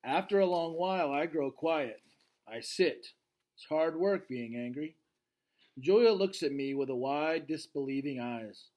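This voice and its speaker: A man voicing a solemn gorilla who tells his own storybook tale in short, measured, deliberate sentences. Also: fairly high pitch, expressive, darkish tone.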